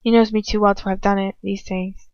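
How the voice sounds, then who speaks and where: A woman reading a story aloud in the first person with expressive, emotive delivery. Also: medium pitch, fairly quick, clean tone, no room sound. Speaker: amateur audiobook reader. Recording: dull, lo-fi close recording.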